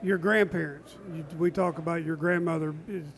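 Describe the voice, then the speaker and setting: A man's voice: fairly high pitch, steady tone, normal speed. A man hosting a casual interview, friendly and affirming, asking questions and telling his own memories. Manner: friendly and affirming, calls the guest "brother". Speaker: host.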